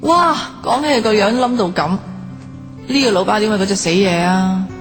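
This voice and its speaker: An actress playing a feisty, bossy, sharp-tongued young woman in a radio drama, speaking Hong Kong Cantonese in a blunt, expressive way. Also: mid-range voice, normal speed, close to an everyday voice. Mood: brisk, bossy. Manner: blunt, teasing, expressive slangy scolding. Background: background music.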